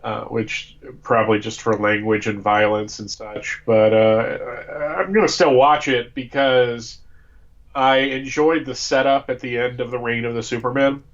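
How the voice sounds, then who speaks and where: An American man co-hosts a casual live fan chat with a stuffed-up, congested voice. His pitch is medium, and the audio is dull and lo-fi. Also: fairly even intonation, measured pace. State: congested with a cold. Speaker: co-host.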